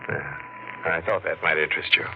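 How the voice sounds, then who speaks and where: Brisk, probing lawyer, lowish voice, muffled old radio. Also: male voice, fairly even intonation, creaky. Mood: insistent. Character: brisk businesslike lawyer on an estate matter. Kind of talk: 1940s radio acting. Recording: muffled 1940s radio broadcast with faint hiss.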